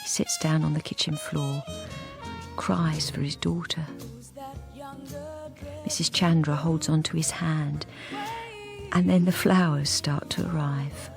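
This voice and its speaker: A woman's voice: low voice, steady tone, slow pace, creaky, long pauses, over music, heavily acted. An actress playing a wistful, lonely wife narrating her life in an intimate, reflective radio drama monologue. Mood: wistful, hushed. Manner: intimate, reflective, softly hesitant. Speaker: lead character and narrator.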